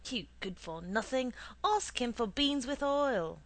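A woman's voice: fairly low pitch, flat delivery, slow pace, no room sound. A woman voicing an exasperated, scolding mother in a storybook, sharply emphatic.

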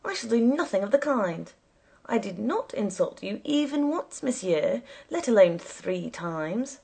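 A woman's voice: mid-range voice, darkish tone, breathy edge. A woman voicing a stubborn but polite taxi passenger in an audiobook, speaking in formal, insistent, emphatic phrases. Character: indignant, stubborn, polite foreign taxi passenger, the story's narrator.

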